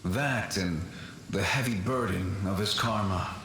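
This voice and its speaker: A man playing the courteous, melancholy ghost of an ancient warrior in a video game, speaking formally and with gentle regret. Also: lowish voice. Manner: formal, measured, gently rueful. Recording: heavy echo with faint noise.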